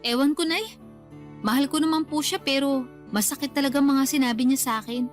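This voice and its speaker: A woman's voice: high voice, a little fast, natural voice. A young woman acting an aggrieved, whiny grown daughter who defends herself to her mother in a radio drama. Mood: hurt, sulky. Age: young adult. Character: aggrieved grown daughter sulking over a lovers' quarrel. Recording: music under the voice. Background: music.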